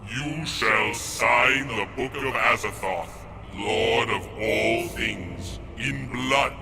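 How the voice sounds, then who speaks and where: An actor playing an ominous, commanding dark emissary of evil in a radio horror play, speaking in a solemn incantation. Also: male voice, lowish voice, drawn-out words, background noise. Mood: solemn menace. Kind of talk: theatrical radio horror acting.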